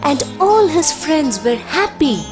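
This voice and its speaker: A woman narrating a scripted children's story in a deliberate, expressive storytelling manner. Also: high voice. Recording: music under the voice.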